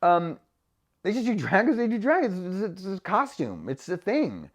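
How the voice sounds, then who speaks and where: A man's voice: sits high, huge pitch swings, moderate pace. A man, a voice actor, talking frankly in a casual vlog monologue, laughing often. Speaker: voice actor and vlogger.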